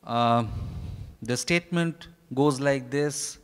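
An Indian man in his fifties speaks as an author addressing an event from notes. He is very deliberate and unhurried, halting between short phrases. His voice is smooth and resonant, carried by a microphone in a reverberant hall. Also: mid-range voice. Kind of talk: formal prepared speech from notes. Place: stage in a large hotel ballroom. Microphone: handheld microphone.

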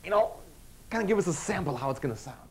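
A man's voice: fairly high pitch, big pitch swings, fast talker, gravelly, a little mellow. A man in his thirties playing a friendly janitor hosting a parody children's puppet show, chummy and coaxing. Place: small indoor puppet show set. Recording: noisy old videotape studio sound.

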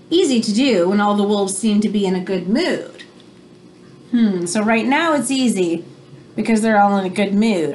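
A woman in her thirties reads to children in a fairly high, very animated voice. Her delivery is teacherly, moving between storytelling and explanatory asides. It is a home recording in a small room, with some background noise. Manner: expressive, teacherly, pausing to explain words. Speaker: children's picture book reader.

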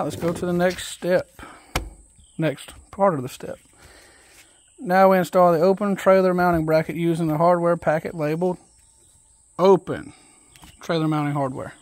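A man casually walking through an assembly job outdoors, reading steps aloud and thinking out loud in a relaxed, folksy way, recorded on a phone camera held close. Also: high-pitched, slight background noise. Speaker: DIY video host. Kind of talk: casual step-by-step assembly walkthrough. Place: outdoors on a wooden deck.

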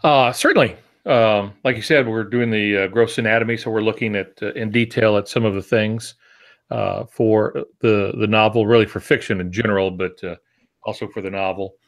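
A man's voice: on the low side, fairly even intonation, normal speed. A man in his sixties explaining things informally, with easygoing asides and disclaimers, on a video call from a home office. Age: 60s. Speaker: co-host and writing teacher.

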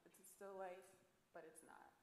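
A woman's voice: mid-range voice, little pitch movement, moderate pace, background noise. A woman curator moderating a panel discussion, asking long, meandering questions with hesitations. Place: stage of a large auditorium. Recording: echoey auditorium sound.

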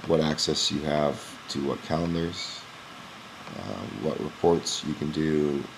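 Very deep-voiced man walking through software. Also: expressive, vocal fry, background noise. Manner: casual, many "um" pauses, explanatory. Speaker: gym owner demonstrating software. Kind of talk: screen-recorded software walkthrough. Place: small indoor room at a computer. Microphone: webcam in front of the face.

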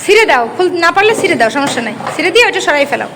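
Female schoolteacher, Bangladeshi accent, very high pitch. Coaxes and instructs small children conversationally, clear background noise of a busy room. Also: big pitch swings, rapid-fire. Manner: coaxing, directing children, often asking questions. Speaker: schoolteacher hosting a class party. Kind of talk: casual chat with young children. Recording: noisy room with children's chatter.

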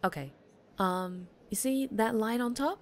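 A woman's voice: on the high side, unhurried. A woman playing a polished, encouraging female talk show host in a scripted ASMR roleplay, articulate and reassuring with a showy presenter's delivery. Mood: friendly, brisk.

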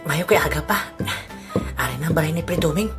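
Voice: mid-range voice, animated, brisk, music in the background, natural voice. A performer playing a nosy, easily shocked villager with a Filipino accent in a comic audio drama, muttering hesitant asides. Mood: chatty, amused. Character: Albedo, nosy, easily shocked village man eavesdropping on friend.

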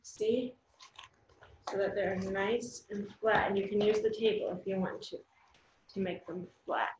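A woman's voice: mid-range voice, fairly even intonation, a little slow, echoey room, highs cut off, noisy background. An American woman in her thirties giving plain, simple step-by-step craft instructions as host of a children's video, recorded at home across a table. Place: small room at home, indoors.

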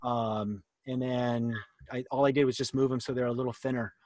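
A man's voice: medium pitch, steady tone, normal speed, a little mellow, no echo. A man presenting a workshop on a video call, casually explaining things and answering questions. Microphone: computer mic on a video call.

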